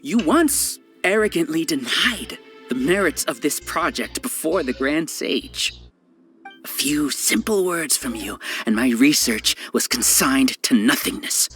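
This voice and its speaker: A cold, scheming scholar villain in a game who lectures in measured, condescending tones. His pitch sits mid-range but swings expressively. Faint music plays beneath him. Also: expressive, a bit bright. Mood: bitter. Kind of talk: game voice acting.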